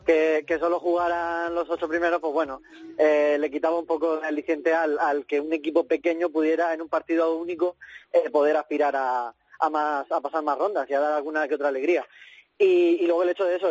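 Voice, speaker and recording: A man from discussing sport casually as a panelist on a phone call, in halting phrases. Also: on the high side, a little mellow. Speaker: radio panelist. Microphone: telephone call.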